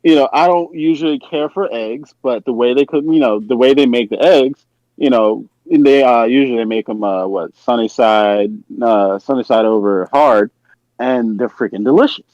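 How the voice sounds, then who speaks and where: The sound is dry and a little muffled. An American man of medium pitch chats casually as a podcast participant. He rambles and keeps pausing to search for his words. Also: darkish tone, no room sound. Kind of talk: casual chat.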